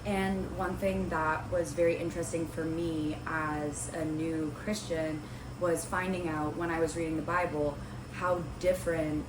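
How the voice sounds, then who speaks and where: A young woman hosting a Bible study vlog, a little raspy from a cold, chatty and rambling with many ums and likes. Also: low-pitched. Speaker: host of a Bible study video series. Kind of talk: casual talking-to-camera vlog. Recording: roomy with clear background noise.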